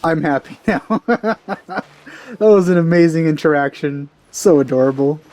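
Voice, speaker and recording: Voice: mid-range voice, measured pace, darkish tone, no room sound. A let's play host giving casual gameplay commentary, reacting and musing aloud. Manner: reacts aloud, muses to self. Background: faint game music.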